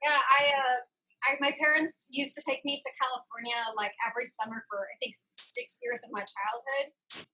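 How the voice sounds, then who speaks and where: A woman's voice: high voice, expressive, normal speed, sharp tone, poor audio. A woman hosting a casual video chat interview, with an effusive way of talking. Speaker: talk show host.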